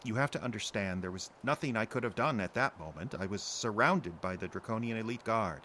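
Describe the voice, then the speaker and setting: A man playing an urgent, evasive middle-aged father on the run in an audio drama, speaking in a pressing, defensive, halting way. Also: fairly low pitch, pushed and bright, slight background noise.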